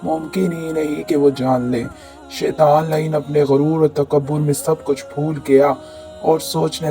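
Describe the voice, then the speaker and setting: A hoarse man tells a religious story in a solemn, measured recital. His voice is dark and mellow, sits a little high, and barely moves in pitch. Faint music runs underneath.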